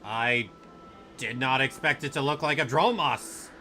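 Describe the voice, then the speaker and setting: Male game streamer, bright and fairly high, slow casual commentary over a stream. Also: faint music behind. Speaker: livestreamer reacting to a game. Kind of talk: casual live reaction commentary.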